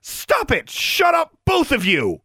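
A man's voice: medium pitch, lively intonation, normal speed, no echo, studio quality, lightly acted. A man voicing a bold, confrontational teenage girl in an audiobook story, blunt and firm in the way of a leader. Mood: exasperated.

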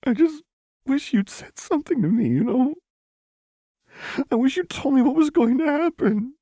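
A man voicing an anxious, tender young woman soldier with halting, emotional speech in an audiobook. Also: very high voice, expressive, mellow, no room sound, pitched above the performer's own voice, full character voice. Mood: hurt, pleading. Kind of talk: audiobook character acting.

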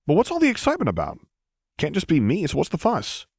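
Man acting Luke, a lively, primly cheeky young man. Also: a little high, fast talker, vocal fry, breathy edge, no echo, clean studio sound, voice pushed up, exaggerated voice. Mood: cheerful, breezy. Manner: bright, cheeky, primly emphatic. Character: Luke, good-humored, polite, primly indignant young man. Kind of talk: audiobook character dialogue.

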